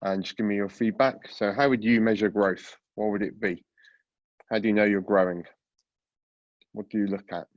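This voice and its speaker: A man with a cold, sounding congested, presenting a product webinar with a relaxed manner and many fillers. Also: on the low side, moderate pace, long pauses, no room sound, lo-fi sound. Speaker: product coach giving a webinar talk. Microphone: video call.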